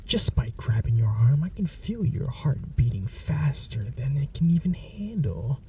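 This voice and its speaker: A man's voice: mid-range voice, sing-song, unhurried, warm tone, far from the mic, slight background noise. A man voicing a menacing, possessive male vampire in an ASMR audio roleplay, speaking in a whispery, mocking, threatening way. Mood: taunting. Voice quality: whispery, gravelly.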